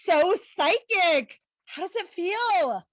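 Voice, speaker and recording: A woman's voice: extremely high pitch, expressive, takes it slowly, no echo, full character voice. A woman playing a gushing, needy and blunt young woman in a comedic play reading, with dramatic, exclamatory slang. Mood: gushing excited. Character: Brooklyn, gushing, needy, blunt young married best friend.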